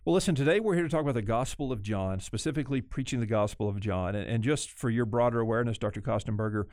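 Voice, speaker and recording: A man hosting a podcast interview in a measured, formal way. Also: mid-range voice, a little mellow, dry recording, clean studio sound. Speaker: podcast host.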